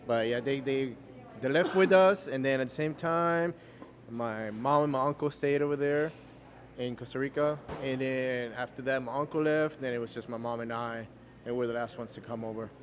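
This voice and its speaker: Cuban-born guest, Spanish first language, unaccented English, relaxed rambling storyteller. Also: male voice, medium pitch, normal speed, slight background noise. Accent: American English, no Cuban accent. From Cuba. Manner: relaxed storyteller, many fillers and restarts. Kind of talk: casual interview chat.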